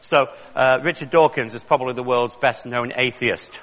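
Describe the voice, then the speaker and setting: A man's voice: medium pitch, moderate pace, a bit bright. A man in his fifties from the north of England, giving a joking, chatty lecture to an audience. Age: 50s. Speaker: creationist speaker. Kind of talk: public lecture. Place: large lecture hall. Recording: long reverb of a large hall.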